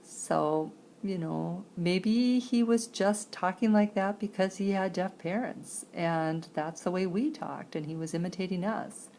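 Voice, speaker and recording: A woman telling a personal story conversationally, in halting phrases, with a creaky voice. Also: lowish voice, darkish tone, slight background noise, poor audio. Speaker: sign-to-voice interpreter.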